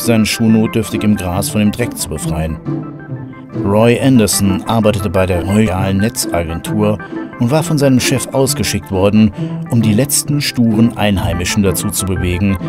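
Casting: male narrator for horror radio play, lowish voice, music underneath. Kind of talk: scripted horror story narration.

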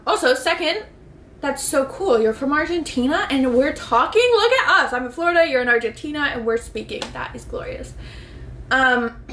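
A woman's voice: high-pitched, fairly bright tone. A young woman in her twenties chatting casually to camera in her bedroom, reading out viewer messages and giving advice, swearing offhandedly and saying "like" a lot. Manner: bubbly, swears casually, reads messages aloud, lots of "like". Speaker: vlogger answering viewer submissions. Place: bedroom, indoors. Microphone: camera in front, seated. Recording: echoey bedroom.

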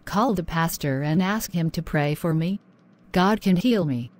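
A sick, worn-out wife pleads in a raised, thin voice, as a man puts her on. She sounds weak and slow, drawing out her words. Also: fairly high pitch, lively intonation, a little mellow, pitched above the performer's own voice. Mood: pleading. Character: sick weary wife, adult woman, pleading.

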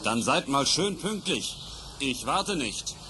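An actor playing a folksy middle-aged country bus driver in an old radio drama, teasing and curt by turns. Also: male voice, medium pitch, very animated, takes it slowly. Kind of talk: 1970s radio drama acting. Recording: hissy old tape recording.

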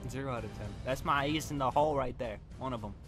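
A man's voice: medium pitch, quick pace. A man chatting casually and teasing his friends in slangy gameplay commentary as host of a card game video. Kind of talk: casual gameplay chat and trash talk with friends. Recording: music behind the voice.

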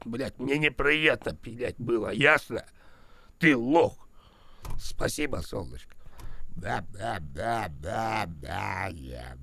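Gravelly-voiced man swearing on a stream. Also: medium pitch, bright, forceful tone. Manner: crude, swearing, berating chat and ads, then mumbling goodbyes. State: has a cold. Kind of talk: casual live-stream chat.

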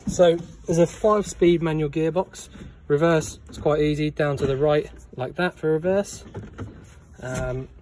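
A man talking through a camper van handover in a relaxed way with many ums, filming on a handheld phone. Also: a little high, slight background noise. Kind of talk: casual walkthrough, filmed on phone. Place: in and around a parked van, workshop. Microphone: handheld phone, one-handed filming.

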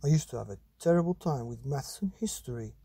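A well-meaning storybook father, voiced by a man, asks chatty, probing questions. His voice is husky and lively in pitch. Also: a little fast, no echo. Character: well-meaning, inquisitive father of a young boy.

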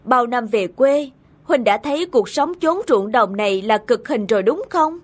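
A woman's voice: on the high side, animated, normal speed. A woman playing a vain, pushy middle-aged male official in a cartoon folktale, insistent and boastful. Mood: persuasive.

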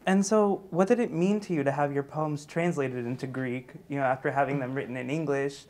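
A man's voice: medium pitch, steady tone, brisk, a little mellow. A man in his thirties interviewing a guest in a studio, rephrasing his long questions as he asks them. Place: dark TV studio, seated.

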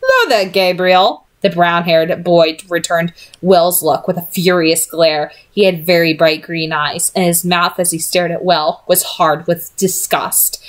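A woman's voice: on the low side, little pitch movement, measured pace, few pauses. A young adult woman reading a fantasy novel aloud as an amateur narrator. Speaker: amateur book reader. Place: small home room, indoors.

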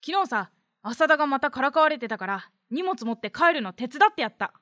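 A woman's voice: high voice, very animated, a little fast, fairly bright tone, dry recording, clean studio sound. A woman acting an earnest twelve-year-old schoolboy in a voice drama, speaking in a plain boyish way. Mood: eager. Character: earnest twelve-year-old boy, a sixth-grade pupil. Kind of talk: voice drama acting, letter reading.

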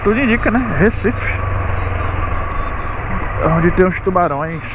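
A man's voice: high-pitched, lively intonation, unhurried. A young man, chatting casually to his viewers while riding a motorcycle at night, recorded on a helmet camera. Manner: chatty, friendly, calls viewers family. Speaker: motovlogger host. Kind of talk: casual motorcycle vlog narration. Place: riding a motorcycle on a city beachfront road at night. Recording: traffic and wind noise outdoors.

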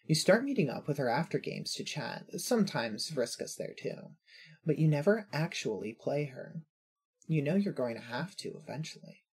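Fiction podcast narrator, androgynous mid-pitched voice, heavily husky, reading scripted prose. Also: big pitch swings, normal speed, a little mellow.

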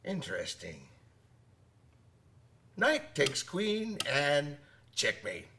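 A man's voice: on the high side, wildly swooping pitch, extremely slow, noisy background, heavily acted. An actor in a radio drama playing a hearty old one-eyed sailor who spins boastful yarns in folksy nautical talk, repeating his phrases. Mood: sly, hearty. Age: older.

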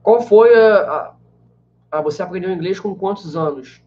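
A young man from Brazil with a fairly high voice answers viewer questions in a loose, rambling way, full of fillers. He talks to a webcam in a small room, and the sound comes through a little dull and lo-fi. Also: moderate pace. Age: 20s. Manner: chatty, many fillers like "né" and "cara". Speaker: language teacher answering live chat. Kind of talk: casual live-stream Q&A. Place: small room indoors with a banner behind.

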